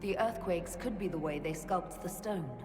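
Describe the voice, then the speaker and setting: Female game adventurer played by a woman: lowish voice, level-headed, inquisitive, dry, strong music bed. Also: normal speed, close to an everyday voice. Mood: composed, curious. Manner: composed, questioning, dry. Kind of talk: video game cutscene acting. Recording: cavernous reverb under loud music.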